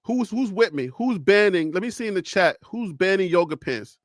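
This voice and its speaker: A man from Liberia, hosting a live call-in show in a preachy, animated way and reading the chat. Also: high voice, moderate pace, no echo, studio quality. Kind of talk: casual livestream talk.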